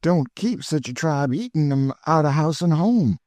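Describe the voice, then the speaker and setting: A man's voice: a little high, lively intonation, normal speed, a little mellow, dry recording, pitched above the performer's own voice, close to an everyday voice. A man voicing an eager, chatty older woman cook with an in a storybook reading. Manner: exclaiming, folksy, eager.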